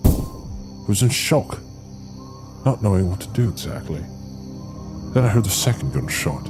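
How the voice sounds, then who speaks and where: An actor playing a polite, ominous old groundskeeper in a horror audio drama, with a grave, formal, theatrical manner. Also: male voice, on the low side. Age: older.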